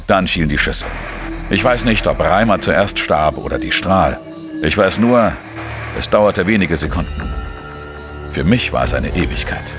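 An actor playing a world-weary investigative journalist in a crime radio drama, speaking in a measured, sombre, terse way. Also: male voice, low-pitched, lively intonation. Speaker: narrator and lead actor. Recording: music behind the voice.

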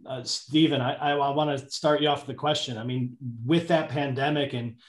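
A man's voice: medium pitch, steady tone, a little fast. Man moderating a panel, roomy echo. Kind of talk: conversational interviewing. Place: indoor room, on a video call. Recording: roomy, echoey video call.

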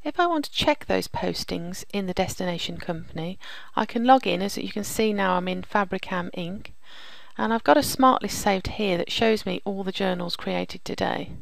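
A woman narrating a software demonstration as a presenter, explaining things step by step. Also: medium pitch, normal speed, vocal fry, no room sound. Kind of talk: scripted software demo narration.

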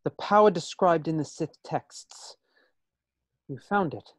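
A man's voice: fairly high pitch, slow pace, dry recording. A man playing a proud, uneasy military chancellor in a script read, clipped and formal. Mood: defensive, formal. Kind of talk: fan table read of a film script.

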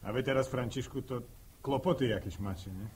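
An actor playing a sociable village farmer in an old radio drama, with a husky voice and folksy rustic phrasing. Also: male voice, medium pitch, brisk, darkish tone, a little hiss or hum, close to an everyday voice. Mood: chatty, curious. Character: sociable village farmer, a visiting neighbour, sympathetic. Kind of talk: 1960s radio drama acting.

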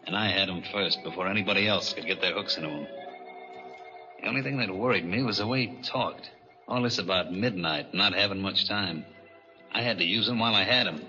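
An actor playing a brash, scheming, slangy American gambler and driver in a 1940s radio drama. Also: male voice, sits low, lightly acted. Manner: slangy, cocky wise-guy talk. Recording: dull, band-limited 1940s radio recording.

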